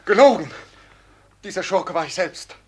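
An actor playing an agitated, guilt-ridden servant in a classic radio drama, with a husky voice. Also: male voice, expressive, moderate pace, a little mellow. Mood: anguished confession. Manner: agitated, high-strung. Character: desperate, guilt-ridden male servant begging and confessing.

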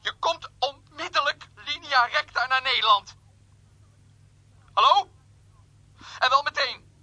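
A jilted man comes through a telephone filter, high and piercingly bright. He is jealous and petulant, barking demands and stumbling over his own repetitions. It is a radio play with a dry, close sound. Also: takes it slowly, no echo, exaggerated voice, rough recording. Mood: angry, bossy. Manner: demanding, stammering, repeating himself.

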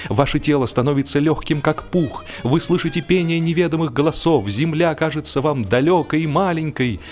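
A man narrating a humorous literary story in a theatrical, mock-lofty manner, with expressive phrasing and deliberate pauses. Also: mid-range voice. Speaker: audiobook narrator. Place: studio. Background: music.

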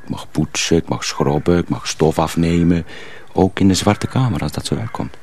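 A man playing a sly young miller's apprentice who poses as a simpleton, speaking confidingly and deliberately in a radio drama. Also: sits low, a little mellow, close to an everyday voice. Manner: deliberate, hesitant, confiding, repeats himself. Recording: faint background noise.

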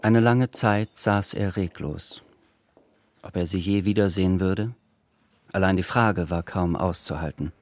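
A man reading scripted literary narration for a radio drama in a measured, reflective manner. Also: sits low, no room sound. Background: music.

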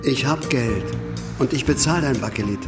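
An actor playing a stubborn, plain-spoken building worker in a radio play, curt and matter-of-fact, with music behind him. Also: male voice, mid-range voice, moderate pace, close to an everyday voice. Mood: curt, determined.

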